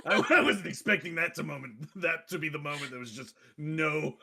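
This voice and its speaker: A man with a fairly high voice that swings widely in pitch. He talks casually as a podcast host, jokey and self-deprecating, with some swearing thrown in. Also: lively intonation, moderate pace, creaky, very noisy. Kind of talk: casual chat.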